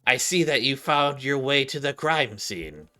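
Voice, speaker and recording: A man's voice: medium pitch, big pitch swings, a little slow, sharp tone. Man voicing a pompous, overzealous young lady detective. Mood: accusing. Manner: pompous, theatrical, accusing.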